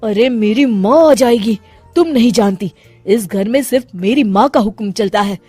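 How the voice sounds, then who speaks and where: A woman voicing an anxious young husband who fears his mother, in an animated story. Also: a little high, normal speed, husky, no room sound, soft background music, voice pushed down. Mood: anxious warning. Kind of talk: animated moral story voice acting.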